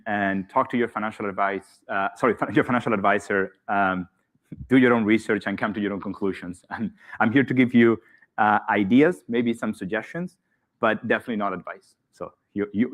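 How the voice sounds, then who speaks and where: A man from Spain with a Spanish accent, speaking live at a conference, hesitant with many fillers and restarts. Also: fairly low pitch. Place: conference hall.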